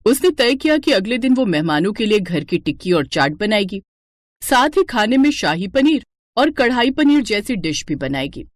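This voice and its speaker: A woman's voice: medium pitch, very animated, normal speed, dry recording, studio quality. A woman narrating a scripted cartoon moral story as its storyteller.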